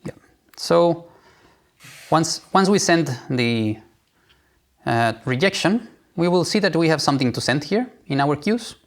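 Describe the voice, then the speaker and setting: Creaky-voiced man demonstrating software. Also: on the high side, animated, measured pace. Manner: informal, step-by-step explaining. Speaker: software product presenter. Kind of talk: live software demo walkthrough.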